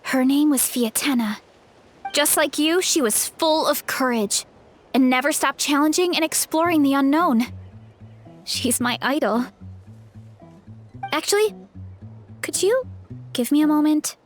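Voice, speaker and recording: A shy young heroine from a video game. Her voice is high and unhurried, earnest and a little hesitant, with faint music underneath. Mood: shy, confiding. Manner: soft-hearted, hesitant, earnest. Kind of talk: video game character acting.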